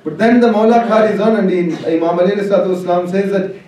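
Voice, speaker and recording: Guy giving a religious sermon in a really echoey hall, high voice, slow and emphatic, repeats his phrases. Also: steady tone, warm tone. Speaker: preacher. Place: large echoing religious hall. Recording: very echoey hall, distant mic.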